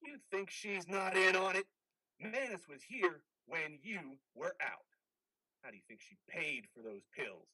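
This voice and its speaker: A man performs a ghostly army commander in a play reading. The voice is fairly high for a man and very animated, giving orders with stern, manipulative authority in a dry recording. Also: measured pace, slightly rough, dry recording, full character voice, low-quality recording. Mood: bitter, domineering. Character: commanding ghost of a dead male army officer.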